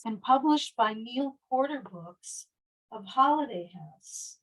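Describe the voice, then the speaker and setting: Slow-paced woman reading to young children. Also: on the high side, animated, fairly bright tone, no room sound, low-quality recording. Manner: asks young listeners simple questions. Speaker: read-aloud storyteller. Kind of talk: children's picture-book read-aloud.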